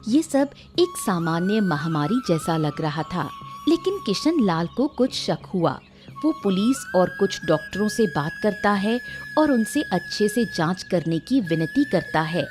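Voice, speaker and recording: A woman's voice: medium pitch, very animated, a little slow, over music. A woman narrating a scripted moral story in a dramatic storytelling style.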